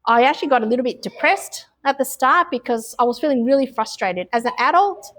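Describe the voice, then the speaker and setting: A woman's voice: high-pitched, expressive, brisk, fairly bright tone. An Australian woman talking openly about her life, with frequent ums and restarts. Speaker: interviewee. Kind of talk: casual interview answers.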